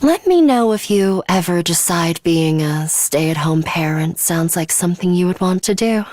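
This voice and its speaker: A woman's voice: on the low side, animated, moderate pace, darkish tone, no echo, natural voice. A woman playing a serious, coolly arrogant schoolgirl fighter with a bloodthirsty streak in a video game, speaking in a formal, precise, faintly sinister way. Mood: coolly teasing. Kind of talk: English video game voice acting, measured monologue.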